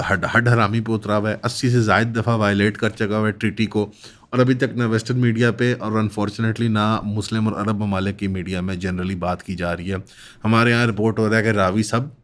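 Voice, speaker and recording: A man with a Pakistani accent giving political commentary as an analyst, in long winding sentences with English terms mixed in. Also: on the low side.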